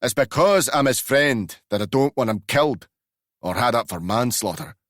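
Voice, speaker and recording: A man voicing a wizened old transporter driver with a Glasgow accent, loud and indignant. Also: medium pitch, animated, moderate pace, no room sound, clean studio sound, voice pushed up, close to an everyday voice. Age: older.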